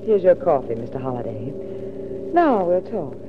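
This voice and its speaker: Swooping, sweetly scheming femme. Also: female voice, low voice, sing-song, moderate pace, over music. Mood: stalling, sweet. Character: sweetly solicitous, scheming woman feigning concern. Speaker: radio drama actress. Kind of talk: 1940s radio drama acting. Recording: tinny, reverberant 1940s radio recording.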